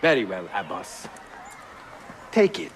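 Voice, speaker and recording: An actor voicing a wary, grieving master assassin and father in a video game, grave and forceful. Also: low voice, wildly swooping pitch, slow, slight background noise, exaggerated voice. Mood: bitter, resigned. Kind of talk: dramatic video game cutscene acting.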